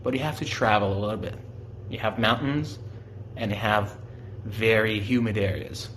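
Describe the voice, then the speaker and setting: A man's voice: lowish voice, steady tone, normal speed, fairly bright tone, slight background noise, low-quality recording. A young man from Iran, a native Farsi speaker with an Iranian accent, explaining his country's culture in a simple, friendly way and asking the listener questions. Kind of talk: simple explanatory talk aimed at children.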